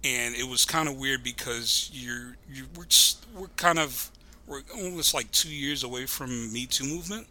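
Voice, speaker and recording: A man with a very bright, forceful voice at a medium pitch. He talks like a podcast guest in casual conversation, wandering through a story with digressions. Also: normal speed, a little hiss or hum. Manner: rambling, digressive.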